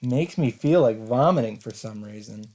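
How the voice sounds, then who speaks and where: A man with a mid-pitched voice reads story narration aloud for a game playthrough. He is deliberate and dramatic with his pauses, and clear background noise from game ambience sits behind him. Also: animated, a little mellow. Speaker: let's player narrator. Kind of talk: dramatic read-aloud of visual novel narration.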